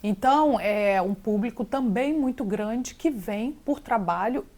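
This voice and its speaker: A woman's voice: a little high, unhurried, a little mellow. Brazilian woman slipping English into her talk. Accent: Brazilian Portuguese. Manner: mixes English words into Portuguese. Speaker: real estate agent guest. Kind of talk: casual interview chat.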